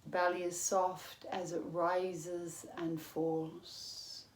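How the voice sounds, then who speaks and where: A woman yoga teacher leading a guided relaxation in a calm, measured, soothing manner with long pauses. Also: fairly low pitch. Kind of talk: guided meditation, yoga nidra instruction. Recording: roomy echo with faint background noise.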